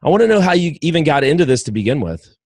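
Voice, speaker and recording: A man's voice: fairly high pitch, big pitch swings, very fast, a little mellow, no room sound, clean studio sound. A man hosting a podcast interview, who asks his questions in an enthusiastic, rambling way with many restarts. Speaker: podcast host.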